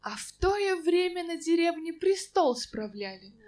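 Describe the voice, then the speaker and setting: Expressive female folk-tale narrator. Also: very high voice, unhurried. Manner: expressive, sing-song. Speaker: storyteller.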